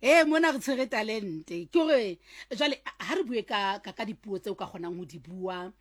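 A woman's voice: medium pitch, animated, moderate pace. A South African woman hosting an interview, asking questions and encouraging her guest with frequent murmurs of agreement. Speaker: interview host. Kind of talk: conversational interview, mixing Sesotho and English.

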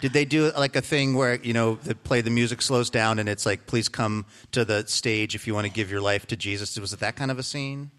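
A man's voice: medium pitch, fairly quick, hardly any pauses, fairly bright tone. A man hosting a casual interview, asking questions with dry jokes. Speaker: podcast host.

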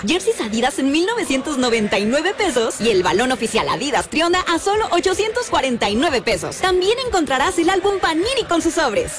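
A woman reading a scripted retail ad in a bright, salesy way. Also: high-pitched. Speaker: ad announcer. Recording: clear music bed.

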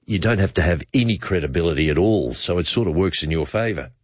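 A man with a fairly low voice hosts a talk show. His pitch swings widely as he teases and questions drily. The sound is close, dry and slightly dull. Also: animated, fast talker, no echo. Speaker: talk radio host. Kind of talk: unscripted banter with a caller.

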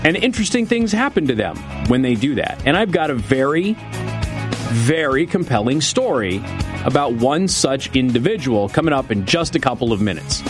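A male radio talk show host delivering an opinionated monologue, sarcastic, with mocking swings up in pitch. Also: sing-song, moderate pace, over music. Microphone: studio microphone.

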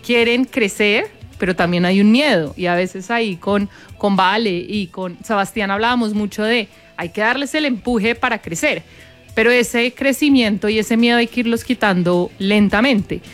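A woman with a Bogotá Colombian accent, a guest on a talk program, explaining her work in measured, step-by-step answers. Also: medium pitch, soft background music. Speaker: guest, corporate sustainability manager.

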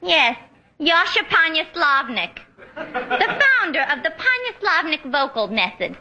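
A brash, vain amateur songbird in an old radio comedy. She talks high and lively, proudly oblivious and utterly earnest. The vintage recording is clearly noisy. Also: measured pace, exaggerated voice. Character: brash, gullible, vain would-be singer, woman.

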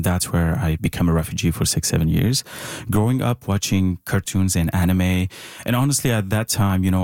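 Deep-voiced Syrian man, halting speech. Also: a little mellow, no echo, studio quality. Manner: hesitant, halting, searching for words. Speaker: visual artist, interview guest. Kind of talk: conversational interview answers.

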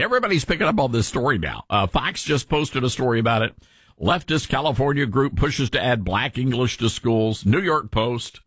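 A radio host with a mild Tennessee accent, reading news aloud and adding wry asides in casual chat. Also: male voice, medium pitch, fairly bright tone, slightly rough, no room sound. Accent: mild Southern US, Tennessee.

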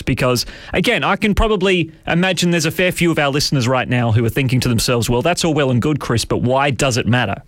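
A man hosting a talk radio show, speaking with a hoarse, raspy voice in a measured, explanatory way. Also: medium pitch, no echo, clean studio sound. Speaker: radio host. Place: radio studio.

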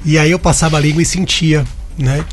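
Man, radio host, casual anecdotal chatter, somewhat high voice, faint music bed. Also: steady tone, a little fast. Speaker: talk show host. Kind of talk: hosting radio health talk.

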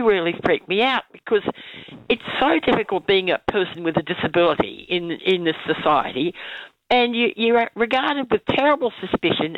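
NZ woman caller, croaky with a cold, stumbling and earnest, muffled phone sound. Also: mid-range voice, no room sound. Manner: halting, many restarts and fillers, earnest. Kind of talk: talkback radio call. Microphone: phone line.

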